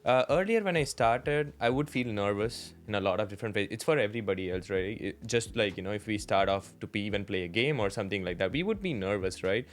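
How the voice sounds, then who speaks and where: A man's voice: lowish voice, lively intonation, fast, hardly any pauses. A man co-hosting a podcast, giving advice in a confident, conversational way with frequent "right?" tags. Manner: confident, leans on "right?" and "you know". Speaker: podcast co-host. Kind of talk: casual advice chat.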